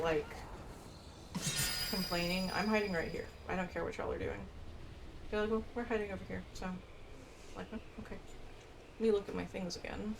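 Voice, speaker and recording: A woman playing a video game and commentating casually, rambling to herself with some mild swearing. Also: fairly low pitch. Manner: rambling, self-talking, mildly sweary. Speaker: video game player narrating her gameplay. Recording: clearly noisy, game ambience behind voice.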